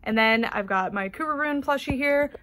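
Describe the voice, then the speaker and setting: A woman's voice: high voice, normal speed, fairly bright tone. A young American woman with an American accent giving a chatty, gushing tour of her doll collection, full of ums and likes. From United States.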